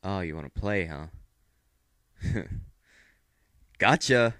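A man voicing a playful, teasing male cartoon cat in an amateur audition, with a soft, coaxing way of talking. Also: low-pitched, expressive, slow pace, no echo, studio quality, voice pushed down, full character voice.

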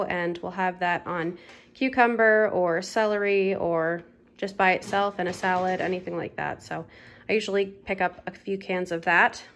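A woman's voice: medium pitch, fairly bright tone. A woman in her thirties vlogging a grocery haul in a casual, chatty way, with plenty of ums and uhs, from her home kitchen table. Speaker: lifestyle vlogger.

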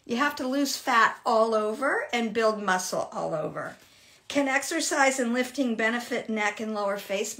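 Bright-voiced woman in her sixties. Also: fairly high pitch. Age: 60s. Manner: chatty, animated, laughs often. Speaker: fitness host. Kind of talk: casual live Q&A. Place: home room with shelves, indoors.

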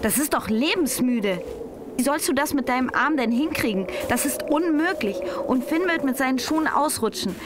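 A woman's voice: sits high, animated, moderate pace. A woman acting an anxious young hiker who is afraid of heights, in an audio drama. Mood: alarmed, refusing. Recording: noisy outdoor ambience behind dialogue.

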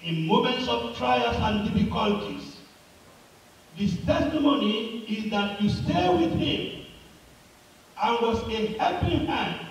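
A man's voice: extremely high pitch, fairly bright tone. An older man delivering a solemn, declamatory formal tribute at a funeral, recorded from a distance in a church. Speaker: speaker at a funeral. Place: large church sanctuary, at a pulpit. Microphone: far camera. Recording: very echoey church hall, distant mic.

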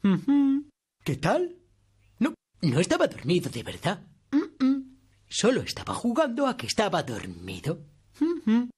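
Wildly animated, childlike boy puppet. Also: sits high, unhurried, breathy edge, exaggerated voice. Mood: playful, coaxing. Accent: Castilian Spanish. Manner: bouncy, coaxing, addressing young children. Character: playful, childlike, mischievous boy puppet, eager and cheerful. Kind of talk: children's puppet show acting.

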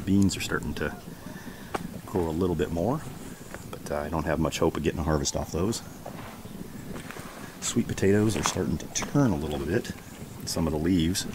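A middle-aged American man with an exceptionally deep voice talks casually as he shows a garden. His delivery is unhurried and rambling. The audio comes from a handheld camera outdoors, with clear ambient noise behind him. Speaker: homesteading vlogger. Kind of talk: casual garden tour vlog. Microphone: camera held at arm's length.

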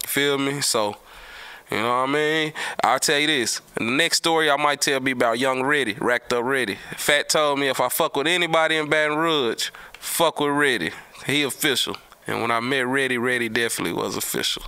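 A middle-aged man tells street stories casually in slang, with emphatic, animated delivery and repeated words. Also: mid-range voice, fairly quick, smooth. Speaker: storyteller, host of his own show. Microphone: close podcast mic on a boom arm.

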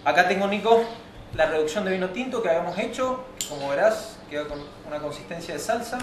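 An Argentine man speaks as a chef walking through a cooking demonstration. His voice is fairly high and his delivery quick and explanatory. The sound is roomy, with music behind him. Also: lively intonation, recorded from a distance, slight background noise. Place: winery kitchen and open-air grill patio.

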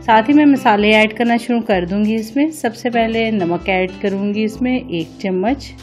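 A woman's voice: fairly high pitch, moderate pace, faint music behind. A woman hosting a home cooking vlog, casually narrating a recipe step by step and politely instructing viewers.

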